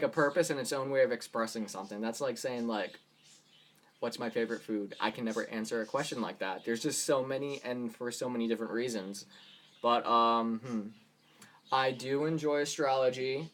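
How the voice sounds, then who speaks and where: A man hosting a casual live question-and-answer stream on his phone, rambling loosely with lots of "like" fillers. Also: mid-range voice. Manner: rambling, relaxed, many "like" fillers. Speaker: livestream host answering viewer questions. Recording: loud guitar music behind voice. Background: acoustic guitar playing.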